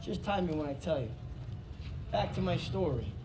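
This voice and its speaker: A man's voice: fairly high pitch, expressive, moderate pace, noisy background, close to an everyday voice. A man playing a cocky, wisecracking young American soldier, a streetwise hustler, in a war game. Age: young adult. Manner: cocky, teasing.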